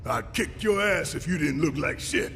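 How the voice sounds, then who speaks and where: An actor voicing a gruff but big-hearted, protective male fighter with a gravelly voice in a video game cutscene. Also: a little high, expressive, normal speed. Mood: gruff relief. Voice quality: gravelly, creaky. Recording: reverberant game audio with background noise.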